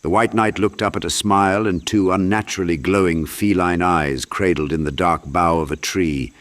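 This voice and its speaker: A man giving a dramatic scripted narration of a dark fantasy audiobook. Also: deep voice, steady tone, normal speed.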